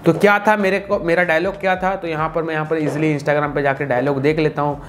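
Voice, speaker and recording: A man's voice: a little high, little pitch movement, fast, fairly bright tone. A man, giving a chatty, informal step-by-step tutorial with English tech words mixed in. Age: 20s. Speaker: video tutorial host. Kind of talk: casual step-by-step tutorial to camera. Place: small indoor room with computer setup. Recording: clearly noisy room recording.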